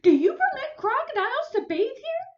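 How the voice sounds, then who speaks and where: A woman voicing a shrieking, fussy middle-aged neighbor lady in a storybook reading, shrill and indignant. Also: very animated, moderate pace, a little mellow. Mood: huffy. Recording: roomy, slightly dull recording.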